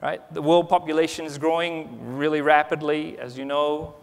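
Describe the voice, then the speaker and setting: A man's voice: on the high side, fairly even intonation, moderate pace, vocal fry. A man in his forties giving a conversational conference keynote on a large stage, with lots of restarts and "you know.". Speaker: keynote speaker. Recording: reverberant conference hall.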